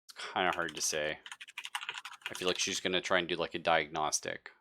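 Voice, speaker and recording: A man's voice: low voice, level tone, brisk, noisy background. A man narrating as game master of a tabletop roleplaying game, thinking aloud casually and hesitantly. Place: live online stream.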